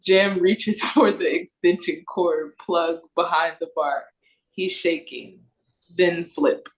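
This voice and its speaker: Lower-pitched woman narrating a script. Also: fairly even intonation, takes it slowly, low-quality recording. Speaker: narrator reading stage directions. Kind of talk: scripted narration, read-through. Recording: video call recording.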